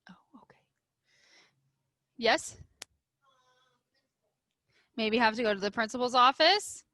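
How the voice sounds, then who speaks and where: A woman reads to young pupils in a fairly high, bright voice with a dry, close sound. She is emphatic and instructive, shaping the story expressively as a teacher would. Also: lively intonation, slow pace, dry recording. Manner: emphatic, instructive, addressing children. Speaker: elementary school teacher. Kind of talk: expressive storybook read-aloud with questions to pupils.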